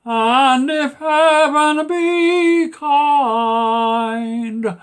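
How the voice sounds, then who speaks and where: A man with an Irish accent singing old ballads in a slow, sustained style. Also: very high-pitched. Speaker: singer.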